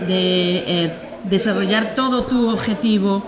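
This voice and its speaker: A woman's voice: medium pitch, unhurried. Woman explaining, crowd behind. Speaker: small business co-owner, guest. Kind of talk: casual podcast conversation.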